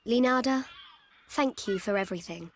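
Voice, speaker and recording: A young actress playing a gentle, warm-hearted heroine in a video game. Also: female voice, mid-range voice, normal speed, a little hiss or hum, close to an everyday voice. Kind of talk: video game character acting.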